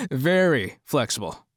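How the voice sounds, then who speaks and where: An actor playing a persuasive, scheming rich promoter in an audio drama, talking in a businesslike way. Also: male voice, on the high side, slightly rough, dry recording, studio quality, heavily acted. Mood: pleased.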